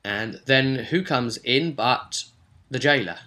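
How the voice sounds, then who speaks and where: Bright, forceful man telling a story. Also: medium pitch. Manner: chatty, halting, acts out short bits of dialogue. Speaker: game master recapping his tabletop role-playing session. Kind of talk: casual, rambling storytelling.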